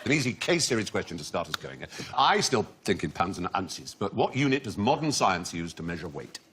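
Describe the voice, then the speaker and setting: A man's voice: low voice, sing-song, fairly quick. A man with a Welsh accent hosting a comedy quiz show, playful and given to laughing while he explains facts at length. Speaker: quiz host. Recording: noisy studio with audience. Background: studio audience laughter.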